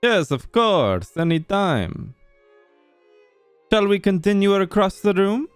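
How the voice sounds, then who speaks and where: A man acts out a suave vampire lord from a game roleplay. His voice is clean and smooth, swinging widely in pitch over background music. He is formal, courteous and deliberate. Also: big pitch swings, no echo, exaggerated voice. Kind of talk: Minecraft roleplay acting.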